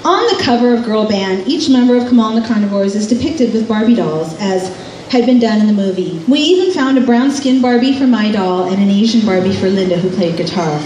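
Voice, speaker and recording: A woman's voice: medium pitch, animated, a little fast, a little mellow. A middle-aged American woman reading a scripted memoir aloud live on stage in a club. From California, United States. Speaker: author and musician reading from own memoir. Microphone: stand microphone through PA, recorded by distant camera. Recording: roomy, distant camera pickup, faint noise. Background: faint room noise and ambience.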